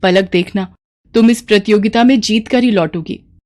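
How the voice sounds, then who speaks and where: A woman's voice: mid-range voice, moderate pace, a little mellow, natural voice. A formal, authoritative lady class teacher in a storybook tale, put on by a woman narrator. She addresses her pupils plainly and firmly. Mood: apologetic, encouraging. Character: blunt female school class teacher.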